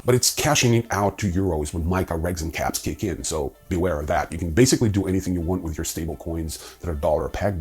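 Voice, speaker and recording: A man hosting a crypto news explainer, reading scripted material with informal, conversational asides in a husky voice. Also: sits low, dark tone. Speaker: host of a crypto news video.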